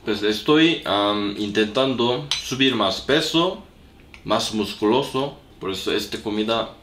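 A man's voice: fairly low pitch, measured pace, a bit bright, echoey room. A young man whose first language is Korean, speaking simple learner's Spanish while cooking and chatting in a vlog, with a lingering cough. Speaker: vlogger. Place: small apartment room.

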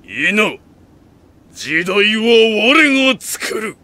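An actor playing a proud, iron-willed warlord in an audio drama, speaking in solemn, imperious, archaic grand speech. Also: male voice, high voice, sing-song, extremely slow, bright, forceful tone, full character voice. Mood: grand, commanding. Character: proud, iron-willed male warlord bent on remaking the nation. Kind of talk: Japanese audio drama acting.

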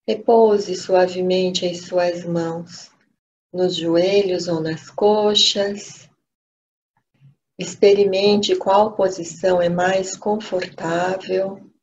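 A woman with a Brazilian accent leading a guided meditation, gently and unhurriedly, pausing between short phrases. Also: medium pitch. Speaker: meditation teacher. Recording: dull lo-fi audio with steady noise.

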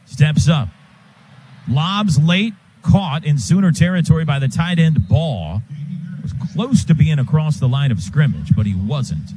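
An American man calling live football on the radio as play-by-play announcer, in clipped phrases that build toward excited calls. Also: on the high side, measured pace, rough recording. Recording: stadium booth with loud crowd noise.

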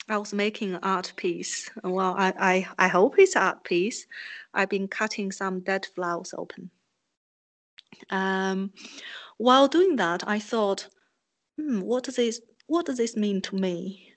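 Slow, hesitant woman, a native Chinese speaker. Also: mid-range voice. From China. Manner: hesitant, reflective, many ums. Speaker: guest artist. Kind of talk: interview conversation.

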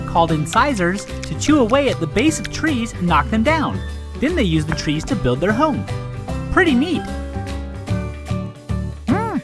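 A woman voicing a cheerful, curious young girl piglet in children's toy play, exclamatory and wide-eyed. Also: a little high. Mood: worried.

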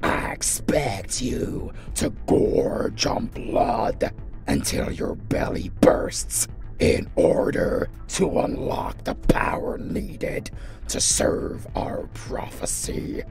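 A man voicing a bitter, imperious crippled vampire lord in a dark fantasy audio roleplay, in a menacing, rasping whisper. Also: mid-range voice, very animated, drawn-out words, soft background music, full character voice. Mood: commanding. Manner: menacing, theatrical.

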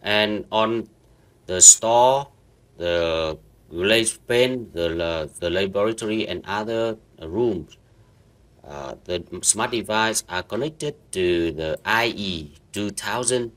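A man with a low voice and guides viewers through a screen-recorded lesson. He talks slowly, piecing sentences together with frequent fillers and false starts. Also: fairly bright tone. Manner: halting, many fillers and restarts. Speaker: networking instructor. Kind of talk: screen-recorded software walkthrough.

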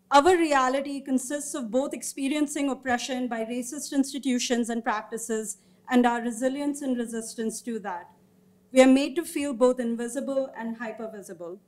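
Woman researcher doing a panel presentation, slightly high voice, kinda halting with pauses mid sentence, formal but some casual asides. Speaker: researcher presenting report findings. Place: indoor meeting room or auditorium, seated at a panel table. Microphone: gooseneck table microphone.

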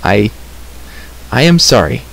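A man's voice: medium pitch, sing-song, takes it slowly, heavily acted. A man playing an earnest, impatient young hero in a storybook, speaking bluntly and with command. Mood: apologetic. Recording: clear background hiss.

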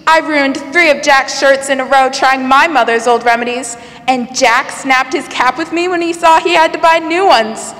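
A woman's voice: high voice, normal speed, a bit bright. A young woman acting an eager, easily exasperated housewife in a 1940s-style radio soap advert. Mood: exasperated, pleading. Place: school theatre stage, indoors. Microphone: stand microphone. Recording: echoey stage hall.

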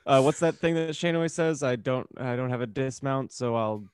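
A man's voice: medium pitch, brisk, clean tone, no room sound. A man co-hosting a podcast in casual, joking banter. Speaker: podcast co-host. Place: home room, online group call. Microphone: close podcast microphone.

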